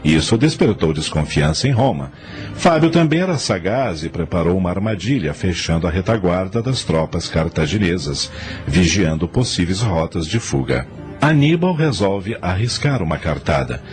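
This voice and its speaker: A man's voice: low-pitched, very animated, normal speed, a little mellow, faint music behind. A man narrating a radio drama from a script, telling the story in a dramatic style.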